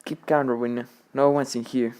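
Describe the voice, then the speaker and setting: A man's voice: medium pitch, level tone, moderate pace, close to an everyday voice. A man playing a troubled, delusional widower who tells his gothic tale in literary wording for a radio drama. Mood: reassuring. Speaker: lead actor and narrator. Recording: music under the voice. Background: loud music.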